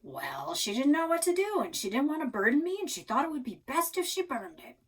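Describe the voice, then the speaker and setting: A woman voicing a stubborn, folksy old grandfather in a storybook, gruff and resigned. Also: high-pitched, sing-song, a little fast, voice pushed up. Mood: reminiscing, troubled.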